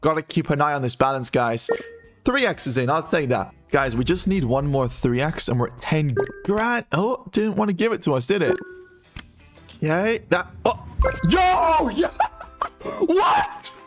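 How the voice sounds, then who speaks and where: A hoarse man commentating his online gambling in a casual, excitable way, calling his viewers guys and bro. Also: a little high, measured pace, music in the background. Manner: excitable, shouts and laughs at big wins. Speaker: gambling streamer.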